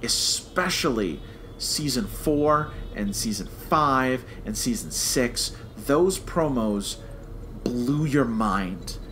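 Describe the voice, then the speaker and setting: An American man co-hosting a podcast in casual, animated fan chat that slips into mock quotes. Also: medium pitch, takes it slowly, a little hiss or hum. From United States. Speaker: podcast co-host.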